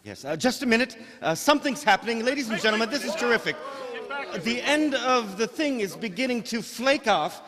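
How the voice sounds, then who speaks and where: Actor as a high-voiced, stammering radio reporter, horrified. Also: male voice, animated, fairly quick, a crowd in the background. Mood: urgent, excited. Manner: breathless, stammering, hesitant live commentary. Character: excitable, horrified male radio news reporter at a disaster scene. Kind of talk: old-time radio drama eyewitness reporting. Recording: echoey hall, stage radio play.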